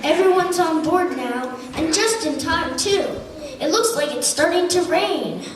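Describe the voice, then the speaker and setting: Woman acting high-voiced, devout puppet Noah, very echoey. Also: measured pace. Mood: excited, relieved. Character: Noah, devout grateful family man in a puppet show. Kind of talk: scripted children's puppet-show acting. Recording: very echoey, distant mic, crowd noise.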